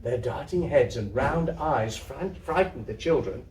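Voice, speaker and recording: A man narrates a literary story with theatrical expression. His mid-range voice moves up and down in a lively way. It sounds roomy, picked up from some distance in an indoor venue. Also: mid-range voice, unhurried, a little hiss or hum. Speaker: story reader, theatre troupe member. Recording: echoey room, distant microphone.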